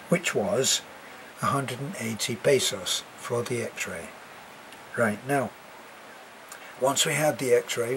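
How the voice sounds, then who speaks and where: A man's voice: mid-range voice, slight background noise, poor audio. Older British man with a cough. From Britain. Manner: rambling and chatty, many fillers and restarts. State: has a cough, congested. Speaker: expat vlogger. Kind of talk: casual chat to camera.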